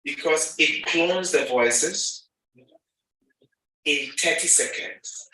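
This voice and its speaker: Ghanaian man giving a lively talk, very bright tone, booming hall, mic set back. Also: drawn-out words. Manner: animated, acts out dialogue. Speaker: speaker. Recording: echoey hall, mic at a distance.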